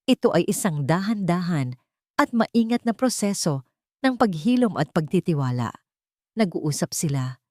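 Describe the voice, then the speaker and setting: A woman reading a scripted love story as its narrator, in measured, deliberate, dramatic phrases. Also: on the low side, a little mellow, no room sound, clean studio sound.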